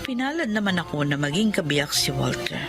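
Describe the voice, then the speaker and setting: A woman's voice: sits low, big pitch swings, brisk, loud music behind the voice. An actress narrating and playing a humble, devoted wife and mother in a radio drama, speaking earnestly and pleadingly. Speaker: narrator and lead character.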